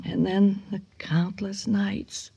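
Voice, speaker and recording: Haunted bitter mother, radio drama monologue, gravelly edge, halting delivery, dry close sound. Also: medium pitch, flat delivery, dark tone, no room sound, heavily acted. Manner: halting, breaking into whispers and cries. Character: bitter, haunted mother of a son she fears.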